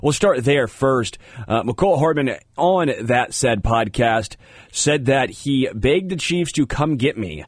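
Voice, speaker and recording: A man's voice: medium pitch, dry recording, studio quality. An American man hosting a sports talk radio show, slightly congested, speaking informally and emphatically and often repeating himself. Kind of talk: sports talk radio commentary with casual asides.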